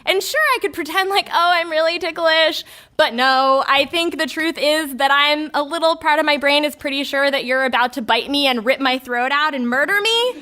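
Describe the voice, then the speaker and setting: A woman in her thirties with a high, bright voice performs a comic personal confession. She is candid and wry, delivering it as a storyteller and slipping into the lines she quotes. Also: normal speed.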